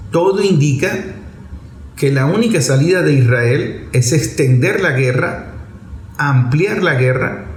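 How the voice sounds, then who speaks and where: A man's voice: fairly high pitch, a little hiss or hum. A man giving a political commentary monologue in an emphatic, didactic way, repeating phrases for effect. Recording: echoey room with music underneath. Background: music.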